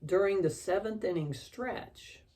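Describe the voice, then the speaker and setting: A woman reading a children's storybook aloud as an expressive storyteller. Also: mid-range voice, measured pace.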